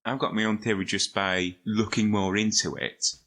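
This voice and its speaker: A man's voice: lowish voice, steady tone, normal speed, fairly bright tone. A man chatting casually as a podcast co-host in an offhand, jokey manner. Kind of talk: casual chat.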